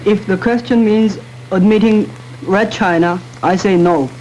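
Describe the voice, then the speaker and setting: A teenage boy argues his side in a student panel debate. He speaks haltingly, filling pauses and restarting phrases. His voice is very high for a young man, with a faint hiss behind it. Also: darkish tone, dull audio. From Korea. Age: teens. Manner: hesitant, many fillers and restarts.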